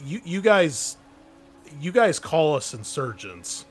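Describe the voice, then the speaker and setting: A man's voice: a little high, very animated, slow, husky, faint music behind, voice pushed up, heavily acted. A man voicing a nervous, evasive captured insurgent in a tabletop game, stammering and defensive as he pleads under questioning.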